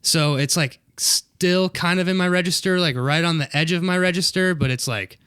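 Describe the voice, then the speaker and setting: A young man with a fairly high voice talks loosely, swearing as he rambles about his music. His voice is smooth and resonant. The sound is close and dry. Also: moderate pace, a bit bright, dry recording. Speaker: musician guest on podcast. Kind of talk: casual chat.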